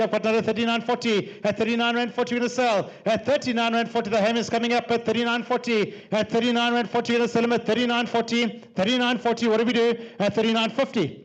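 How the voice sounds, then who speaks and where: Rapid-chanting auctioneer man. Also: sits high, poor audio. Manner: repetitive rhythmic bid calling. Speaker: livestock auctioneer.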